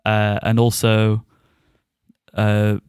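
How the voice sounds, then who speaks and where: A man's voice: lowish voice, monotone, extremely slow, a little mellow, smooth, no room sound, studio quality. A man co-hosting a podcast, giving a casual review and often chuckling as he talks. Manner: chuckles often while talking.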